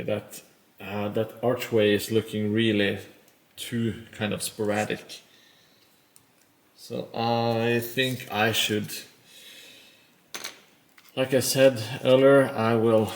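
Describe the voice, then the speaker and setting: A man's voice: on the low side, gravelly. A man with a Norwegian accent chatting casually on a live stream while he builds, rambling and poking fun at himself. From Norway. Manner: rambling and self-mocking, with frequent pauses.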